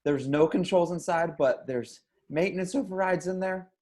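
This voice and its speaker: A man's voice: sits high, moderate pace, dark tone, lightly acted. A man playing a scrappy young stoner hero in an amateur screenplay table read, casual, slangy and wry. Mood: tense, explaining.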